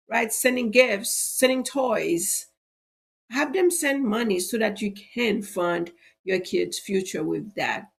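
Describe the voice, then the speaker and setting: Woman, middle-aged, gravelly, slightly high voice, stuffy and cracky, persuasive live sales talk. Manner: persuasive, repeats "right?" as a tag. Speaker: insurance agent hosting a live show.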